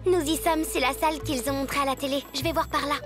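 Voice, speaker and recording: A woman voicing a teasing, resourceful schoolgirl in an animated series, with a youthful, girlish voice. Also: sits high, fast talker, music in the background, natural voice. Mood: determined. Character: teasing, resourceful schoolgirl, bold and quick-witted. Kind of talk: French animated series voice acting.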